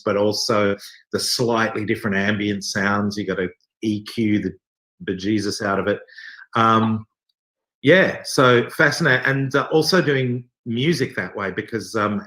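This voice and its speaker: Low, bright-voiced Australian man. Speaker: theatre company director being interviewed. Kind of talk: casual interview conversation.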